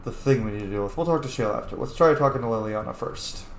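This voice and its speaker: A gamer giving casual, excitable let's-play commentary, informal and prone to swearing. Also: mid-range voice, quick pace. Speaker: gamer playing and reading out dialogue choices. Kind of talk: casual let's-play commentary with role-played dialogue read aloud. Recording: roomy echo, faint background noise.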